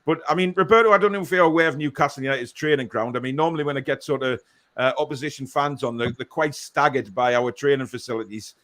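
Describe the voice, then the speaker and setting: A man's voice: mid-range voice, fast, a bit bright. A man hosting a live football fan show, chatting casually and reading out viewer comments.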